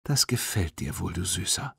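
A man voicing a kind, excitable young horse-loving girl in a German children's audiobook, with a standard German accent. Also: low voice, moderate pace, creaky, breathy edge, dry recording, clean studio sound, heavily acted. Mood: tender, soft. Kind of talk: children's audiobook character reading.

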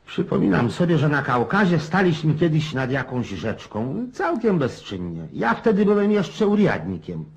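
An actor playing a garrulous, cynical old Cossack in a radio play, rough-edged and folksy, prodding his listener with questions. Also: fairly high pitch, big pitch swings, moderate pace, few pauses, muffled recording, heavily acted. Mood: musing, reminiscing.

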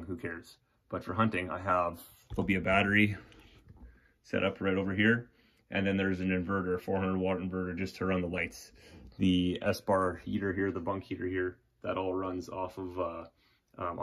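Young guy, low steady voice, loose walkthrough with lots of restarts, phone held at arm's length. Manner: relaxed, rambling, frequent restarts and fillers. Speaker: vlogger. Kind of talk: casual walkthrough tour. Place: small insulated wooden shack indoors. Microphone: handheld phone camera at arm's length.

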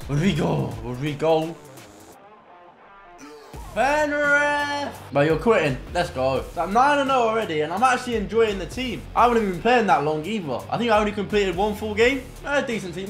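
A young man giving excitable commentary on his own football video game play. He mutters between moves and bursts into loud shouts at goals. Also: high voice, moderate pace. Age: young adult. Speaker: gaming content creator. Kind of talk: live gameplay commentary. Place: home gaming room indoors. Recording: roomy echo, faint game music behind.

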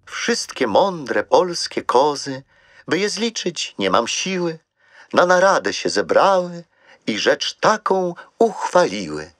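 A man's voice: a little high, sing-song, slow pace, fairly bright tone, dry recording, full character voice. An actor playing a gallant man of prewar times in a children's radio play, speaking in a courtly, declamatory way. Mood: announcing. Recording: music behind.

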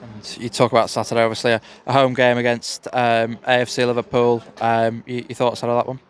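A man's voice: medium pitch, monotone, brisk. A man interviewing a football manager outdoors for the club, asking hesitant questions full of fillers. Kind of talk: post-match interview questions. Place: outdoors beside a football pitch.

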